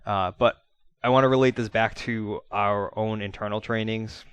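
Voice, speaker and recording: A man giving an informal spoken debrief in an earnest, plain-spoken, halting manner, like a trainer talking to colleagues. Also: fairly low pitch, steady tone, a bit bright, clean tone, no echo. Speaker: fire-rescue commentator and trainer.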